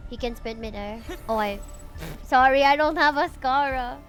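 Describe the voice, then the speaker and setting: A woman's voice: high voice, normal speed, a bit bright, soft background music. A young woman with a Filipino English accent chatting casually with viewers while playing a game, greeting chat and swearing playfully. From Philippines. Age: young adult. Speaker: streamer.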